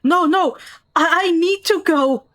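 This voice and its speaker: A woman's voice: very high-pitched, measured pace, fairly bright tone, pitched above the performer's own voice. A woman voicing a frightened, desperate father who pleads and repeats himself in a tabletop role-play. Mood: panicked, pleading.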